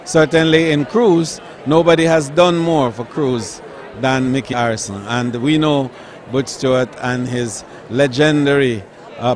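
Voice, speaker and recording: A man, a tourism official speaking emphatically at an outdoor event. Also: mid-range voice. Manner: emphatic, listing achievements with rising stress. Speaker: tourism official giving a speech. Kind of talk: formal public speech to the press.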